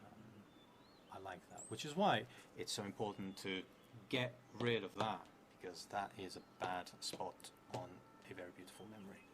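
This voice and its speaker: A low-pitched man in his thirties thinks aloud through a solo roleplaying story. He is hesitant and musing, letting sentences trail away. The recording has clear background noise. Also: normal speed. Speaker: solo tabletop roleplay player and streamer. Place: indoors at a table in a theatre room. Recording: clearly noisy room recording.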